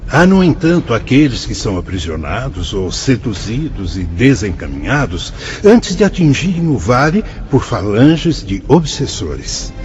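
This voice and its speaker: A solemn, wise spirit guide. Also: male voice, medium pitch, very animated, measured pace, hardly any pauses, a little mellow, highs cut off, a little hiss or hum. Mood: explaining. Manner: solemn, dramatic, sweeping phrasing. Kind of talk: radio drama acting.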